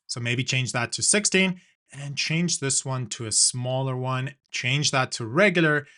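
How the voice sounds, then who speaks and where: A man from South Africa hosts a software design tutorial, explaining each click in a relaxed, step-by-step way. His voice is bright and forward, at an ordinary middle pitch. It sounds like a desk-mic voice-over. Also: a little slow. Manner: relaxed step-by-step narration with fillers. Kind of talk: screen-recorded software tutorial.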